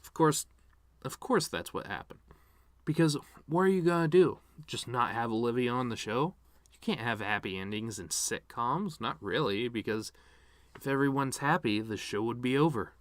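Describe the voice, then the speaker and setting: Man performing a middle-aged cartoon horse-man, bitter, grieving, sardonic, medium pitch, dramatic eulogy monologue, very dry sound. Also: expressive, normal speed, no echo, lightly acted. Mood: sardonic, agitated. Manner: sardonic, rambling, wounded.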